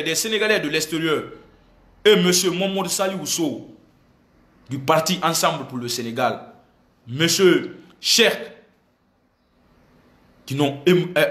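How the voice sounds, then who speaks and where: A man's voice: on the high side, a bit bright. A man in his thirties from Senegal speaks emphatically to his phone at arm's length, repeating himself and switching languages. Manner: emphatic, repetitive, switching between French and a local language, gesturing. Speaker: activist addressing followers. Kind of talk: impassioned selfie video statement. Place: indoor room with air conditioner. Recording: echoey room on a phone.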